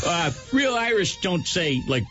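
Grumpy older Boston Irish guy, crude gruff ranter, Boston accent, a man putting him on, lively pitch, radio music faint behind. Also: exaggerated voice. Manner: gruff rant, jokey and crude. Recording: slightly muffled radio audio with music.

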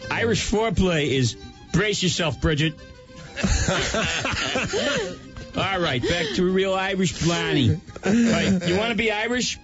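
A man voicing a crude, grumpy older Boston Irish man with a Boston accent, ranting in a gruff, jokey way on slightly muffled radio audio. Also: sits high, lively intonation, measured pace, pauses often, over music, pitched above the performer's own voice.

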